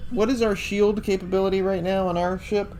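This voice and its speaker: A man playing a tabletop game, making quick playful jokes and tactical suggestions. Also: sits high, steady tone, a little mellow, slight background noise. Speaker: player. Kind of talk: casual game table chat.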